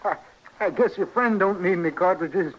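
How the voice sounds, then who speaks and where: Fussy frontier shopkeeper, male actor, American, high-pitched and halting, dull old radio sound. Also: close to an everyday voice. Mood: businesslike, puzzled. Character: fussy, hesitant small-town storekeeper. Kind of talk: 1950s American radio drama acting. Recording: dull old radio recording, faint noise.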